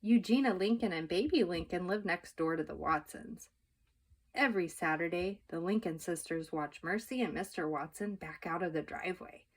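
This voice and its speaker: Expressive woman reading a children's story. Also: fairly low pitch, normal speed, poor audio. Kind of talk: children's book read-aloud, scripted narration.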